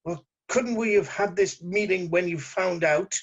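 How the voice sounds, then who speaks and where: A man playing a cautious, businesslike assassin in his late thirties at an amateur table read, clipped, deadpan and suspicious. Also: high voice, measured pace. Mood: confused. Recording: dull lo-fi voice recording.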